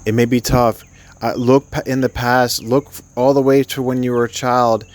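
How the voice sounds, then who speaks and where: A man leading a guided sleep meditation, speaking gently and soothingly in an unscripted, halting way with long pauses. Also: medium pitch, level tone.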